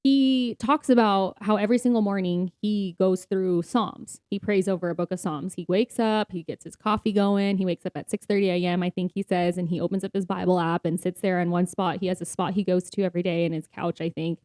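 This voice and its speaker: A woman with a soft, mellow tone talks as a podcast co-host in a casual faith conversation. She explains things earnestly and informally, drawing on personal examples. The sound is dry, with very little room in it. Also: on the low side, steady tone, brisk, hardly any pauses, no echo.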